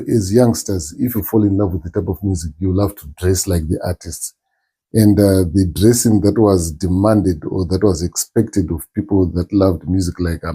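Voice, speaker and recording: A middle-aged man with a strong Zimbabwean accent, answering interview questions thoughtfully with many pauses and restarts. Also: low-pitched, mellow, breathy edge. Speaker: interview guest, musician. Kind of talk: relaxed interview talk.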